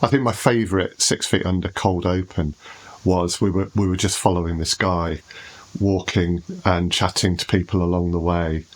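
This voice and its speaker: Low, husky-voiced man hosting a chat. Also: steady tone, normal speed. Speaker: podcast host. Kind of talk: casual panel discussion.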